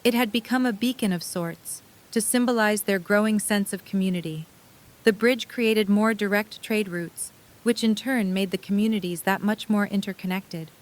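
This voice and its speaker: A woman's voice: medium pitch, normal speed, rough recording. A woman reading scripted story narration for an audio drama.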